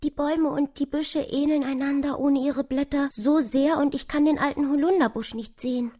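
A small, timid winter mouse from a children's storybook, acted out by a woman. The voice is pitched high, soft and breathy, with a plaintive, shivery delicacy. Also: steady tone, moderate pace, lightly acted. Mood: plaintive, lost.

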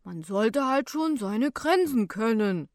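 A woman's voice: a little high, animated, a little mellow, no echo, clean studio sound. A woman voicing a judgmental older male passenger in an audiobook story, grumbling his words. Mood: disapproving. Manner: grumbling, drawn-out.

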